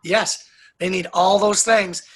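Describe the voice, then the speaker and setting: A man speaking as an interview guest, calm and earnest, laying down his points firmly. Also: high voice, slow, breathy edge. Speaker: guest, author and educator. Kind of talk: casual interview chat.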